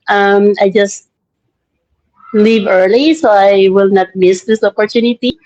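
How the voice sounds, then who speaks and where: A woman's voice: medium pitch, measured pace, a little mellow. A woman from the Philippines with a Filipino accent, casually introducing herself on a livestream. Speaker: livestream participant. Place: vacant room at workplace. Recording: dull lo-fi livestream audio.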